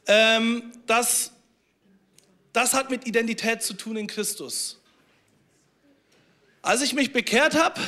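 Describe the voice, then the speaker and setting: A man's voice: very high voice, pushed and bright. A man preaching a sermon to his congregation, earnest, repeating phrases and restarting often. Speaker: pastor.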